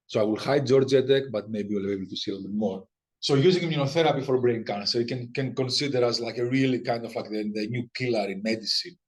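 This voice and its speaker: A man in his forties from Greece with a Greek accent, giving an academic seminar talk in a conversational way with many restarts and repeated words. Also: mid-range voice. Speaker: guest lecturer.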